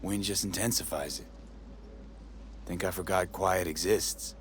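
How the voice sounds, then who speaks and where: A man's voice: deep voice, steady tone, a little slow, slight background noise. A man voicing a wry, cocky young mercenary in a video game cutscene, dry and sardonic. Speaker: game character. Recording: game audio with music behind.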